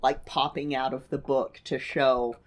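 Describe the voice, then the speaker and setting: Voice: mid-range voice, level tone, unhurried. Enthusiastic podcast co-host in casual chat. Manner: enthusiastic, gushing agreement.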